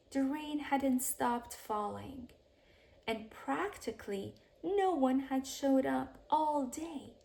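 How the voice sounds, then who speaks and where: A woman narrating a children's storybook aloud in an expressive bedtime-story style, with measured phrasing and softly hushed sentence endings. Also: sits high, gravelly, slight background noise.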